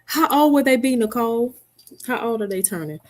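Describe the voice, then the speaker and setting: A woman's voice: on the high side, lively intonation, a little fast, a little mellow. A middle-aged woman hosting a casual live stream from home, chatting with viewers by name and laughing often. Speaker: livestream host. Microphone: headset mic.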